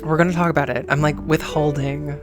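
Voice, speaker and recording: Chatty man reacting, higher-pitched, over music. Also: flat delivery, quick pace. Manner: chatty, exclamatory, emphatic. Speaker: reaction video host. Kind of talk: casual fan reaction commentary. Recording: music behind the voice.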